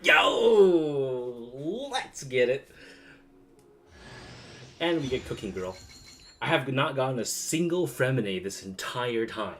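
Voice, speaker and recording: A man's voice: medium pitch, big pitch swings, slow, pauses often, fairly bright tone, slight background noise. A man streaming a video game with casual, slangy, sweary reactions and muttered asides. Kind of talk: casual reacting commentary over gameplay.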